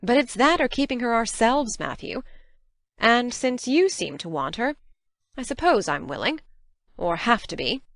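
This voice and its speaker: A stern middle-aged spinster of the farm , acted by a woman, speaks with brisk authority and a very animated pitch. Her manner is crisp, practical and scolding, in an audiobook drama. The sound is dry and close. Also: dry recording, full character voice. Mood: grudging, firm.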